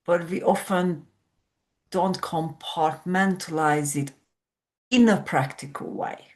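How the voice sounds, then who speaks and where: A woman's voice: on the low side, slow, a little mellow. A woman from Hungary, a sleep coach explaining ideas reflectively as a podcast guest. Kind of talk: podcast conversation.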